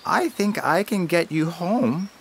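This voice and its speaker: A storyteller voicing a bossy but helpful female crow with high squawking calls, very slow and unhurried. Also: expressive, gravelly, slight background noise. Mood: coaxing.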